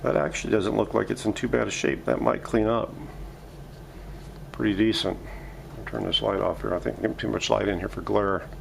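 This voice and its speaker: Low-voiced man thinking aloud at the workbench. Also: fairly even intonation, fairly quick, gravelly, a little hiss or hum. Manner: muttering step-by-step commentary, thinking aloud. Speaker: vintage radio restorer hosting a workshop video. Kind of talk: casual hands-on narration while working. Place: home workshop.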